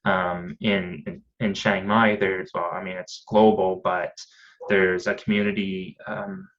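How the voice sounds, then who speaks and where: A man's voice: deep voice, level tone, unhurried, highs cut off. A man, chatting casually in a rambling way with lots of fillers and restarts. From Canada. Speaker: podcast guest. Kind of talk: casual conversation.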